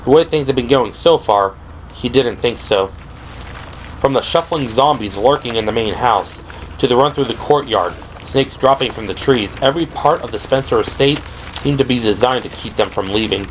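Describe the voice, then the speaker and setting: A man's voice: medium pitch, moderate pace. A man reading dramatic scripted narration for a horror audio drama. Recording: clearly noisy background. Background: ambient sound effects.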